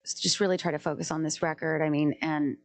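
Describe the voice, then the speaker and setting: Low-voiced woman musician, chatty. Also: fairly even intonation, fast talker. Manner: chatty, jumps between thoughts, many restarts. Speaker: singer-songwriter guest. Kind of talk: casual podcast interview.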